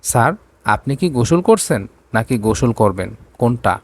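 A man's voice: mid-range voice, big pitch swings, normal speed, husky. A man voicing an anxious, submissive young woman who speaks politely in an audio romance story. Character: anxious, dutiful young woman devoted to her brother.

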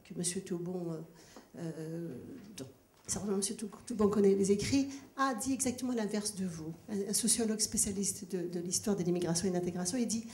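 A woman's voice: mid-range voice, a little fast, a little mellow. A middle-aged woman speaking earnestly and formally into a handheld microphone at a public debate, emphatic and repeating phrases to press her point. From born in Kabylie, Algeria, grew up in France from age nine. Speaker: audience member questioning a speaker at a public debate. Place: large ornate hall with seated audience, indoors. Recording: echoey hall with clear background noise.

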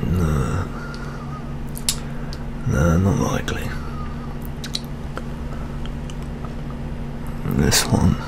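A young British man playing a weary, jaded older police detective in a soft-spoken ASMR roleplay, muttering with gloomy gallows humour. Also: very deep voice, lively intonation, extremely slow, gravelly, noisy background, exaggerated voice. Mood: weary, grim. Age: young adult.

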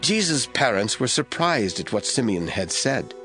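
A man giving measured, scripted Bible narration in a storytelling manner. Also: mid-range voice, very animated, a bit bright, faint music behind.

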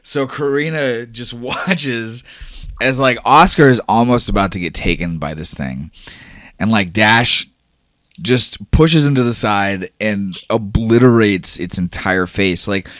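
A man's voice: fairly low pitch, unhurried, fairly bright tone, no room sound, clean studio sound. A man improvising horror narration as a tabletop game master, casual, emphatic and swearing freely. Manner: casual, profanity-laden, emphatic.

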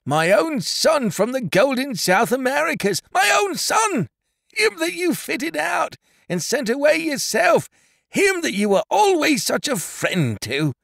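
A man's voice: extremely high pitch, very animated, unhurried, creaky, fairly bright tone, dry recording, studio quality, voice pushed up, exaggerated voice. A man voicing a devoted, emotional old father in a Victorian storybook. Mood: exultant. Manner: exultant, emotional repetition.

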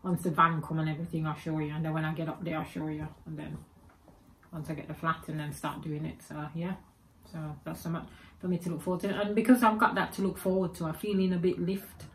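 A woman's voice: sits low, little pitch movement, fast, slight background noise, low-quality recording. A woman in her forties with a Birmingham accent carrying Jamaican and Egyptian traces, chatting to camera at home in a rambling, self-correcting way. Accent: Birmingham English with Jamaican and Egyptian traces. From England. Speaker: vlogger. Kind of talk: casual chat to camera. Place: home room with spooky shelves, indoors. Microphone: webcam or phone camera at desk.

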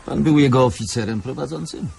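An actor playing a police commissioner in a radio drama, questioning in a direct, businesslike manner. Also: male voice, medium pitch, a little fast, gravelly, a little mellow, a little hiss or hum.

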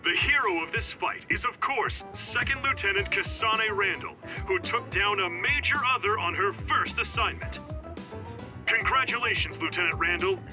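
Game-world battle reporter: very high voice, showy, declamatory, excitable, over prominent music. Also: male voice, moderate pace. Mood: triumphant. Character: excitable TV announcer and field reporter. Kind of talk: breathless sports-style news announcing. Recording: dramatic game music behind.

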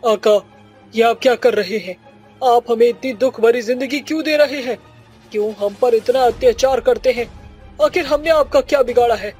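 Guy doing a captive witch, high husky voice, earnest n plaintive, cartoon style. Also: normal speed, darkish tone, faint music behind. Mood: pleading. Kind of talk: Hindi cartoon acting.

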